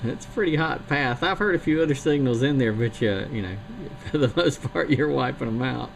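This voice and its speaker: A man chatting easily on amateur radio, talking through gear and antennas in a relaxed, technical way. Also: mid-range voice, a little fast, gravelly, poor audio. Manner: easygoing, technical talk. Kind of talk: casual radio chat. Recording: hissy shortwave radio signal.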